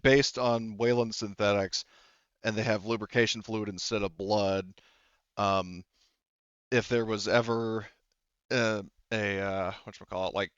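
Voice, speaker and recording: A man's voice: on the low side, steady tone, a little slow, fairly bright tone, smooth, no echo, lo-fi sound. A man acting as game master, explaining tabletop game details in a hesitant, rambling way. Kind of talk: improvised tabletop game narration.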